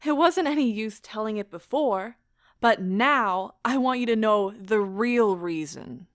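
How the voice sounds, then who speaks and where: A woman playing an anguished, proud wife in an audio drama, speaking with deliberate emotional intensity. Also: on the high side, lively intonation, no echo, clean studio sound. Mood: confessing, intense. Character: anguished, proud wife pleading as her marriage ends.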